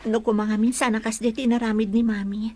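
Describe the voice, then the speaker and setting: A woman playing a heartbroken, gentle young woman in a melodrama, speaking with earnest, sorrowful longing. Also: on the high side, flat delivery, brisk, close to an everyday voice. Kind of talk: Ilocano melodrama acting.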